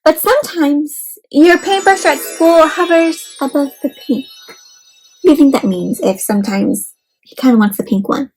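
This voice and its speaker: A woman hosting an interactive read-aloud for children, expressive and encouraging like a teacher, explaining simply and putting questions to her listeners. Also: high-pitched, normal speed, music in the background, poor audio.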